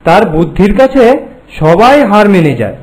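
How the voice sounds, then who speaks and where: A man playing a flattering, hesitant royal minister in an animated folk tale, speaking obsequiously. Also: high-pitched, normal speed, rough recording. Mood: cautious. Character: deferential, flattering royal minister serving a king.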